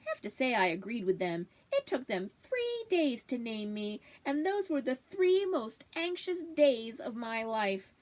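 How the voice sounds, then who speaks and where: A woman as storybook dog, wry, confiding, food-loving, high pitch, very expressive intonation. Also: measured pace, hardly any pauses. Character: wry, food-loving family dog narrating his tale.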